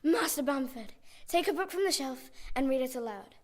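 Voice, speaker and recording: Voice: sits high, lively intonation, normal speed. A young performer playing a stern, bad-tempered female schoolteacher in a children's radio play. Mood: stern, commanding. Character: stern, furious female schoolteacher, shrill disciplinarian.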